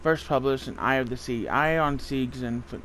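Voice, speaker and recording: A man gives casual commentary as he plays a video game, sometimes reading out text as he goes. His voice sits at an average pitch. A faint bed of game ambience runs behind him. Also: normal speed, a bit bright, a little hiss or hum. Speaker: gaming video host.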